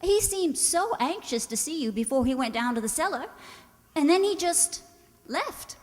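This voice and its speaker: Radio drama: female actor as devoted, fretful wife, hesitant and sweet, very high and animated, in a reverberant hall. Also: normal speed. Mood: puzzled, anxious. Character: affectionate, nervous wife of a secretive husband. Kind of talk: radio play acting. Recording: echoey hall, stage radio play.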